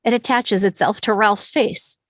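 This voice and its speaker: A woman narrates an animated film script, reading the stage directions aloud. Her voice sits at a medium pitch and sounds dry, with no room around it. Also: moderate pace, no room sound.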